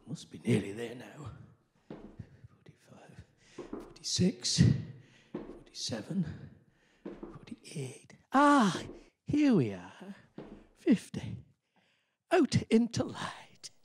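A man's voice: a little high, huge pitch swings, extremely slow, long pauses, slight background noise, full character voice. A man playing an impatient, frightened husband in a radio play, muttering and calling out. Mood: wary, effortful. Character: husband climbing a tower, impatient, increasingly frightened.

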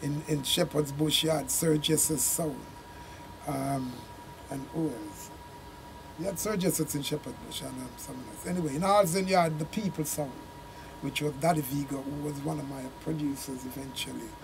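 Older Jamaican man, gravelly and a touch high, unhurried storyteller, studio interview with faint hiss. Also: steady tone. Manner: unhurried, rambling recollection with Jamaican turns of phrase. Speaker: reggae singer and sound system man, interview guest. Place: small studio room with screens.

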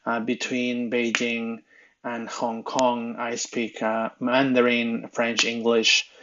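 A man's voice: medium pitch, monotone, slow, lo-fi sound, background noise. A man in his thirties with a Mexican Spanish accent, giving a recorded slide lecture as a university lecturer, hesitant with many fillers and restarts.

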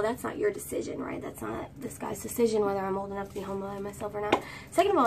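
High girlish woman, gravelly edges. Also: slight background noise. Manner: rambling, sings snatches of songs. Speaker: vlogger. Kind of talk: chatty get-ready-with-me storytime. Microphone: phone camera at arm's length. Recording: distant phone mic, faint room ambience.

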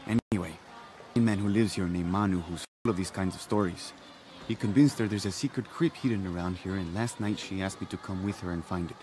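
Game supporting role: earnest, anxious male researcher, lowish voice with slight background noise played by an actor. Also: a little mellow, lightly acted. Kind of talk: video game cutscene acting.

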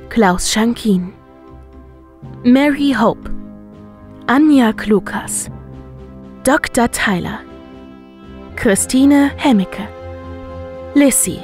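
Slow-paced woman announcer over music. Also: mid-range voice. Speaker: credits announcer. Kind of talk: scripted narration.

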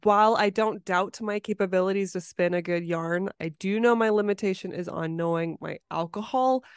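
A woman's voice: medium pitch, normal speed, clear, resonant tone, no echo, clean studio sound. A woman hosting a podcast, moving between scripted introduction and casual chat. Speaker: podcast host.

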